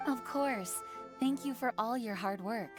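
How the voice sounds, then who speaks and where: A woman's voice: fairly high pitch, unhurried, over music, lightly acted. A woman playing an earnest, courteous knight commander in a video game, formal and composed. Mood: grateful. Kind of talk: video game character acting.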